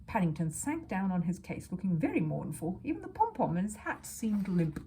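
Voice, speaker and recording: A woman reading a children's book aloud as a storyteller, expressive and dramatic, dropping into hushed asides. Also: medium pitch, moderate pace, a little hiss or hum, poor audio. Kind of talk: expressive children's audiobook narration.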